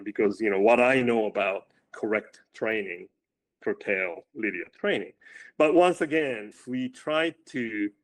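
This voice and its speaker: A male running coach speaking in a casual interview, with a loud voice, often repeating words as he starts his sentences. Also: on the low side, poor audio.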